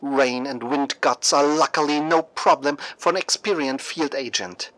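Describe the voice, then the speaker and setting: A radio show host and narrator reading a scripted story in a theatrical, deliberate way, like a dramatic newscast. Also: medium pitch, fairly bright tone.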